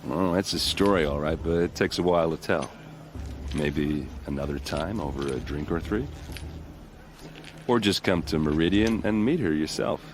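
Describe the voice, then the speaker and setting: A friendly video game warrior with a deep voice. He is plain-spoken and wry, proud of his people and given to folksy boasting. Faint ambient noise sits behind him. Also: normal speed. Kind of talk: game cutscene dialogue acting.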